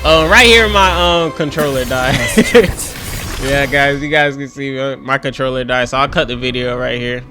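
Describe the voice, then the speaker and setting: A man's voice: mid-range voice, normal speed, pushed and bright. A young man hosting a gaming video, giving casual, rambling gameplay commentary in informal slang. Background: game music.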